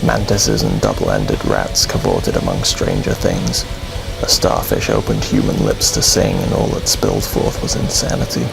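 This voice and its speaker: Extremely deep, gravelly male storyteller. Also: very animated, poor audio. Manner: grave, dramatic, deliberate delivery. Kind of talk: scripted horror bedtime story narration. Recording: music under the voice.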